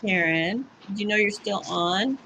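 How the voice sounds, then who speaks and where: A woman hosts a casual live stream and reads viewer comments aloud. She muses freely and laughs often. Her pitch moves a lot as she talks. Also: animated, unhurried, gravelly, bright, forceful tone, slight background noise, poor audio. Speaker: livestream host.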